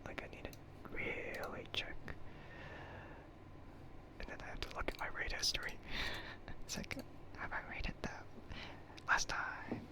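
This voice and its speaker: ASMR livestream host: breathy whisper, unhurried, hesitant and self-deprecating, casual rambling chat. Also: low-quality recording.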